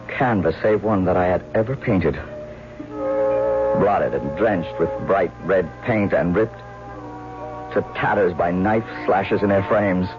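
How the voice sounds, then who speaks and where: An embittered old painter haunted by tragedy. His very low voice tells the tale gravely and deliberately, as in a radio mystery. The old recording is muffled, with music clearly underneath. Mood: grim, bitter. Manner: grave, deliberate dramatic narration. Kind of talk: old-time radio mystery drama acting.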